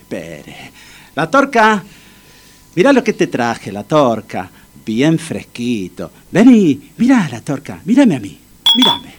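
An actor with an Argentine accent playing a cocky, insistent, devoted male friend in a comic radio play, with a husky voice. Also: medium pitch, big pitch swings, slow pace, slight background noise. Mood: urgent coaxing. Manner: insistent, theatrical coaxing and boasting. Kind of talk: comic radio theatre acting.

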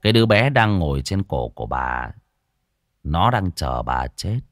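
A man voicing a stern, exasperated young man in an audiobook story, admonishing in a low-key way. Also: very animated, a little slow, dry recording, studio quality, heavily acted. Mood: grim warning.

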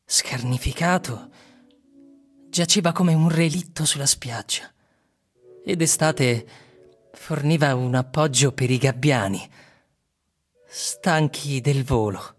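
An actor reading literary narration as a grave storyteller, in a measured and deliberate way. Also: male voice, mid-range voice, slightly rough, faint music behind. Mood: sombre, measured. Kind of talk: literary scripted narration with music.

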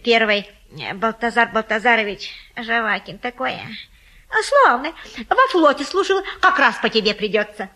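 An actress playing a garrulous, folksy old Russian matchmaker in a stage comedy, coaxing and boasting theatrically. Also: female voice, on the high side, lively intonation, moderate pace, pushed and bright. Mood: gossipy boasting. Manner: folksy, garrulous, effusive, sing-song coaxing. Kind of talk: theatrical stage-comedy acting of a classic play.

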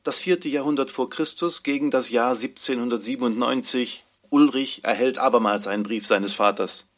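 A man's voice: mid-range voice, moderate pace. A man reading out scripted chapter headings as an announcer in a radio drama.

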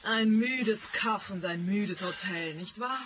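A woman's voice: mid-range voice, takes it slowly, over music, natural voice. An actress playing a brash, blunt businesswoman with a mocking manner in a radio play. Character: brash, thirsty businesswoman hunting for property.

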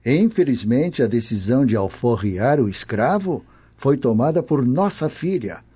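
Husky, haughty old Roman nobleman. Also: medium pitch, big pitch swings, a little slow, dark tone. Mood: disapproving, suspicious. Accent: Brazilian. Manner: haughty, grumbling, emphatic. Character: distrustful, stern old Roman nobleman and husband. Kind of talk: radio drama dialogue. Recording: dull lo-fi radio drama recording.

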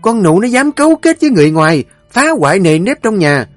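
A man voicing a stern old mistress of a household who barks orders in a shrill, commanding tone. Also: big pitch swings, brisk, soft background music. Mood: furious.

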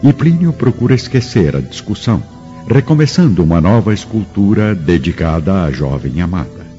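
Deep, animated Brazilian male narrator. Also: moderate pace. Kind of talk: dramatic scripted radio narration. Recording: muffled radio production with music.

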